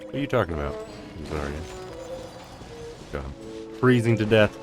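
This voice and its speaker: A man doing casual, quiet commentary as he plays through a video game. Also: low voice, expressive, normal speed. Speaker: game streamer. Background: game music.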